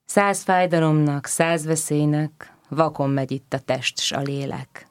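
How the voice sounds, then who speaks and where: A reciter delivering religious verse in a solemn, measured declamation. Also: mid-range voice, steady tone, clear, resonant tone, dry recording. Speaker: poetry reciter.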